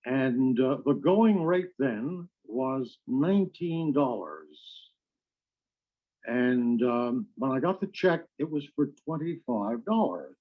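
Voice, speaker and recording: An older man reminisces as a veteran radio actor. He speaks slowly and haltingly, pausing often between phrases. The recording is muffled and phone-like. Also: mid-range voice, expressive. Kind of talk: reminiscing, anecdotal talk.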